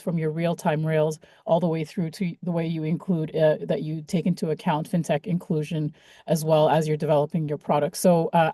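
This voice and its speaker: Upbeat Canadian woman with a mellow voice. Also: fairly low pitch, no echo, clean studio sound. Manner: upbeat, chatty. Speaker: guest speaker. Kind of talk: friendly presentation.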